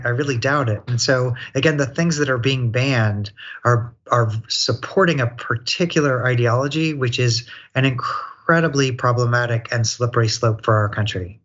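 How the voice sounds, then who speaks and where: An American man offers opinionated commentary as a panel guest. His mid-pitched voice is earnest, and he often doubles back on words while making a point. The recording sounds slightly dull and lo-fi. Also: a bit bright.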